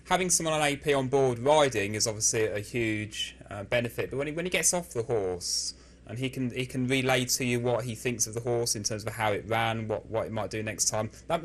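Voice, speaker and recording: A man's voice: medium pitch, fairly quick, slight background noise. A man asking long, wordy questions in an informal sports interview, restarting phrases as he goes.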